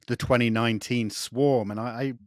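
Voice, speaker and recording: A man's voice: medium pitch, steady tone, moderate pace, dry recording, studio quality. A man hosting an interview in a conversational, agreeable way, affirming what the guest says. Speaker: interview host.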